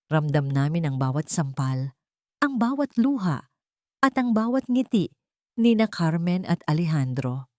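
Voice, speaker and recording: A woman narrating a scripted, sentimental romance story in an earnest, measured storytelling manner. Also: deep voice, a little mellow, no echo, clean studio sound.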